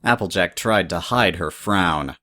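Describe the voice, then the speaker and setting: A woman's voice: extremely low pitch, measured pace, fairly bright tone. A woman reading scripted third-person story narration as the narrator.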